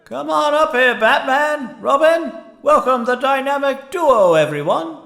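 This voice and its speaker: A man's voice: very high voice, lively intonation, unhurried, creaky, fairly bright tone, exaggerated voice. A man playing a jolly, gushing doctor who runs a charity mission in a comic audio drama, effusive and chuckling. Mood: jovial, welcoming. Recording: heavy noise and room echo.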